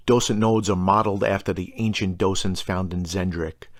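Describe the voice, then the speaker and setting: A man with a very low voice and plenty of gravelly fry presents fantasy game lore. He explains it from a script and slips in informal, wry asides. The sound is close and dry, with very little room. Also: no echo. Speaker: tabletop gaming lore presenter. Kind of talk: scripted narration with casual asides.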